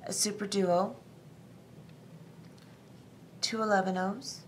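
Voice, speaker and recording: A middle-aged woman hosting a step-by-step beading tutorial, talking calmly and methodically with frequent ums. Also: medium pitch, takes it slowly, slight background noise. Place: tabletop craft workspace, indoors.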